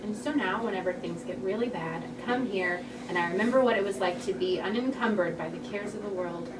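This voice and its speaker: A woman acts out a young comic artist, earnest and wry, in a candid conversational register at middling pitch. It is a script read in a roomy space with clear background noise. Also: a little fast, natural voice. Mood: wistful. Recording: echoey, noisy room, distant mic.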